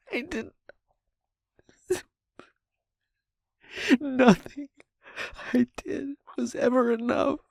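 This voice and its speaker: An actor playing an anguished, self-blaming survivor in an audio drama, with a husky, gravelly voice and a halting, raw delivery. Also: mid-range voice, dry recording, clean studio sound, full character voice. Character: anguished, self-blaming, angry and guilt-ridden survivor, apologetic. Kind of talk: emotional audio drama monologue.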